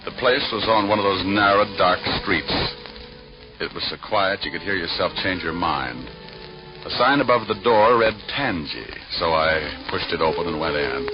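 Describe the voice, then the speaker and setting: A male actor plays a wisecracking private eye with a deep, deadpan delivery. He narrates like classic 1940s radio noir. The sound is a dulled old broadcast with music underneath. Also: dull audio, close to an everyday voice. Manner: wry, deadpan. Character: wisecracking hard-boiled male private detective.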